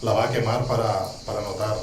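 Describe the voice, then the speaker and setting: A man's voice: lowish voice, fairly even intonation, fast, echoey room, slight background noise. A man from Catalonia speaking English with a Catalan-Spanish accent, giving a hesitant, earnest talk as a street social educator from notes. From Catalonia, Spain. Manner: hesitant, many ums and restarts, warm asides.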